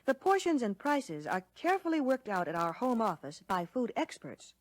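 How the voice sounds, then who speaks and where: A woman playing a courteous American lunch counter waitress, explaining service clearly in a scripted 1960 training film. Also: a little high, very animated, normal speed, no room sound. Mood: proud, helpful. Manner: courteous, instructive, clearly worded.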